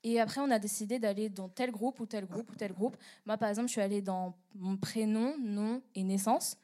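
Mellow teenage girl, hesitant guest. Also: mid-range voice, steady tone, fairly quick. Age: teens. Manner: hesitant, searching for words. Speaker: student guest. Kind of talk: radio interview answer.

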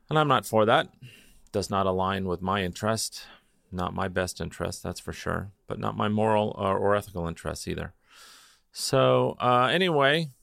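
Gravelly low-voiced male podcast host. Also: a bit bright, dry recording, studio quality. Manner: rambling, halting with many fillers. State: feeling a little low. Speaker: opera chorus singer. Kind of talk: casual solo intro and interview.

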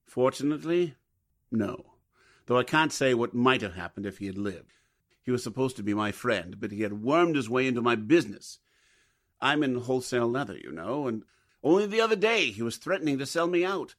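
Guy acting a polite formal business man w a grudge, 1940s radio drama, super expressive intonation. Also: medium pitch, fairly quick, close to an everyday voice. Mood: aggrieved. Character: courteous, aggrieved businessman, a wholesale leather dealer.

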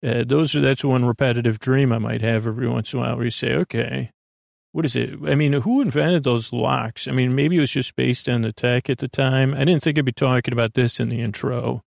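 Middle aged american guy doing a rambly sleep podcast, gravelly creaky voice, super dry close sound, keeps wandering off and trailing off. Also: mid-range voice, steady tone, darkish tone, no echo. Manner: meandering, halting, self-interrupting, trailing off.

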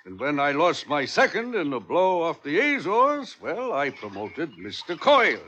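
An actor playing a blustering middle-aged ship captain and jealous husband, speaking in a bluff, coaxing way with rough grammar, in 1950s radio drama style. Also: male voice, fairly high pitch, huge pitch swings, moderate pace, a bit bright.

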